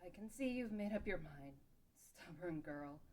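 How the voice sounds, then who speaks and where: Lady acting out a stern warrior guardian woman, lowish voice, blunt and protective, fantasy table read. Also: animated, normal speed, darkish tone, close to an everyday voice. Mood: scolding. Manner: blunt, firm. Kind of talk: amateur table read of a game fan script.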